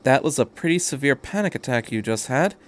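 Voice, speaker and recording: A man voicing a brisk, quirky time-travelling alien in a story reading, breezy and matter-of-fact in conversation. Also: mid-range voice, animated, lightly acted. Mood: curious.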